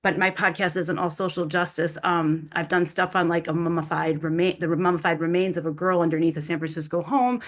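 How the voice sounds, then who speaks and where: A woman's voice: lowish voice, steady tone, brisk, few pauses. A woman talking informally as a podcast panel guest, in an effusive, rambling way. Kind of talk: informal unscripted panel talk.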